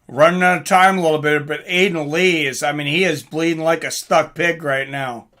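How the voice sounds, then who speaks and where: High-pitched, bright-voiced man calling fights live. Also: moderate pace. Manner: excitable, informal, swearing, reacting aloud. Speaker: host of a live fight reaction stream. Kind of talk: live fight commentary mixed with casual chat to viewers.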